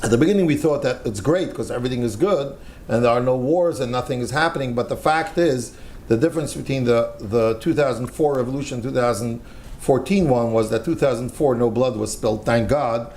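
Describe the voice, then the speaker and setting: An older man with an American accent talks on a panel discussion, earnest and emphatic, often repeating a phrase to drive it home. His voice sits at an ordinary middle pitch. A faint hiss runs under the recording. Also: a little fast, darkish tone. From United States.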